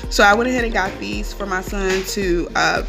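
A young woman talks through her grocery haul in a home kitchen, chatty and upbeat, with plenty of "um" and "you guys". Her voice sits in a middle pitch, and she is picked up by a phone camera held close. Music plays clearly behind her. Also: moderate pace. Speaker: vlogger showing a grocery haul. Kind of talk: casual chatty haul vlog.

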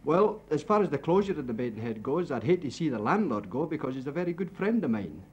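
A middle-aged man speaks in a measured, plain-spoken way as a pub landlord in his own bar. The recording is dull and lo-fi, like an old film soundtrack, with a faint hiss underneath. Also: a little high, very animated, darkish tone. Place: inside a village pub bar.

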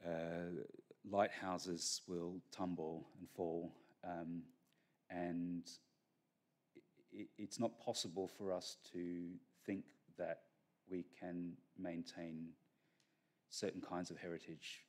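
A middle-aged man giving a keynote lecture from a podium on a conference stage, measured and full of fillers and restarts. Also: sits low, level tone, darkish tone. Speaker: keynote speaker. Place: large conference hall stage. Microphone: podium microphone.

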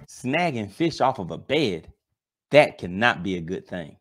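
Animated American man reacting, swooping pitch. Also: a little slow, faint music behind. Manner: animated, theatrical reactions and rhetorical questions. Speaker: host of a fishing reaction vlog. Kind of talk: reaction commentary. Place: small home studio. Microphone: boom-arm microphone up close.

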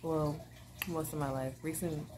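Low-voiced woman chatting to camera. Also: fairly even intonation, a little slow, noisy background. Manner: bubbly, chatty, rambling with many fillers. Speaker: vlogger. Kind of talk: casual chat to camera. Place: small living room at home.

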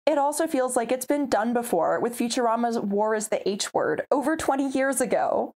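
A woman's voice: on the high side, lively intonation, moderate pace, a little mellow. Wry young woman reviewing a cartoon. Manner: opinionated, wry, conversational. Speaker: video reviewer. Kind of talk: scripted review narration. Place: home room with a starry backdrop.

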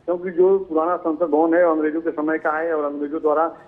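A male bureau chief reports live from an outdoor event, his voice coming through a thin, phone-like line. He speaks a little high and fairly flat, padding his sentences with fillers and repeated phrases. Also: fast talker. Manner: filler-heavy, repeats "jo hai" and "dekhiye". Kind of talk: live news field report. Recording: tinny phone-line audio.

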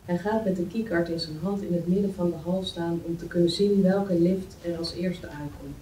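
Female table-read narrator, mellow delivery of scene descriptions, distant mic with faint noise. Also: lowish voice, steady tone, moderate pace, echoey room. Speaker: script reader of stage directions. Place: meeting room, indoors. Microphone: far camera.

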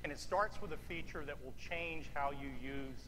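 A middle-aged man giving a keynote product presentation from a large auditorium stage, speaking in a confident, measured way with the odd filler. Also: on the high side. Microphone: headset. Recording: long hall reverb, room noise.

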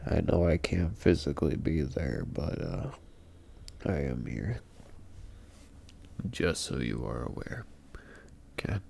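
A man playing a tired, affectionate long-distance boyfriend at bedtime in an intimate ASMR roleplay, murmuring sleepily and haltingly. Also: extremely low pitch, big pitch swings, gravelly, mellow, heavily acted. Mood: reassuring. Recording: faint background noise.

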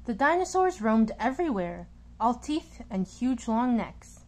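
A woman reading a children's picture book aloud in an expressive, deliberate storytelling manner, stressing key words. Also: on the high side.

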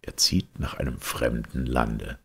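A man narrating a literary story with solemn, theatrical weight and a gravelly, husky voice. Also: extremely low pitch, flat delivery, unhurried. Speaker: audiobook narrator.

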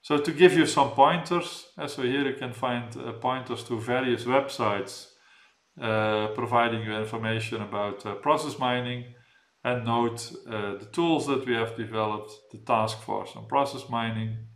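A man in his fifties delivers an academic slide presentation at a medium pitch. He explains hesitantly, repeating words as he goes. The room adds a clear echo to his voice. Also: fairly even intonation, moderate pace. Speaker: keynote speaker, professor. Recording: echoey room sound.

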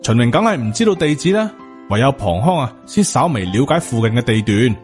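A man's voice: mid-range voice, sing-song, brisk. Cantonese male storyteller over music. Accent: Hong Kong Cantonese. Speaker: audiobook narrator. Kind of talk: scripted storytelling of a supernatural novel.

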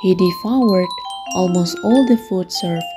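Slow, lowish female storyteller. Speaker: narrator. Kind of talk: scripted children's storybook narration. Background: background music.

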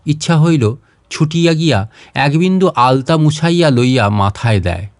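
A man narrates literary prose with dramatic care. His voice sits a little high, and he moves through phrases in a measured, expressive way with pauses between them. The recording is close and dry. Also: no room sound. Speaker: storyteller reading literary fiction.

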